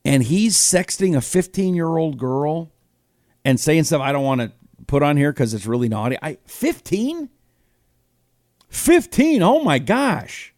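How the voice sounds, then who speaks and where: A man's voice: on the high side, big pitch swings, measured pace, darkish tone, dry recording, clean studio sound. A man in his fifties with an American accent, hosting a casual live talk show in a rambling, conversational way full of rhetorical questions. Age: 50s. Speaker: host of a political talk show. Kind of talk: casual live commentary, reading listener comments.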